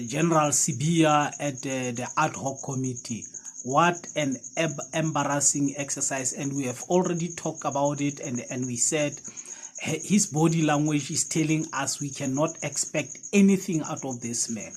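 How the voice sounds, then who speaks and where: A man's voice: a little high, slightly rough, poor audio. A man from South Africa, a Sesotho speaker with a South African accent, giving a casual political commentary to camera with many fillers and restarts.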